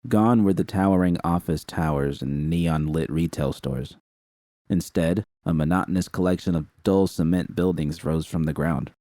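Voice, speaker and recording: Deep, dark-voiced man reading a story. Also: moderate pace, dry recording, studio quality. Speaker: fan fiction reader. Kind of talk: first-person story narration.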